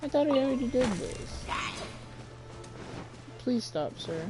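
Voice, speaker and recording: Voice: sits high, big pitch swings, takes it slowly, music in the background. A female streamer chatting casually over gameplay in a slangy, grumbling way. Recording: clear background noise.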